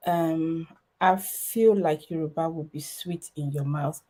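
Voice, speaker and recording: A woman's voice: low-pitched, fairly even intonation, takes it slowly, mellow. A woman in her thirties from Nigeria with a Nigerian accent, chatting casually on a livestream and rambling with many fillers and restarts. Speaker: livestream host.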